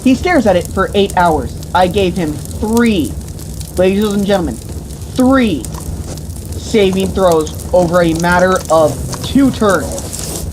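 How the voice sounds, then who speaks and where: A woman's voice: lowish voice, takes it slowly. A woman retelling a tabletop game as game master in a theatrical, mock-grandiose, crude comedic way. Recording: noisy room with steady background hiss.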